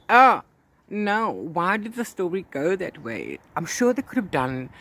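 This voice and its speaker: Man, mid-pitched voice, casual book vlog chat, rambling, repetitive emphasis, clear background noise. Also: fairly bright tone. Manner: rambling, repeats words and phrases for emphasis. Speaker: book vlogger. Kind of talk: casual chat to camera about reading.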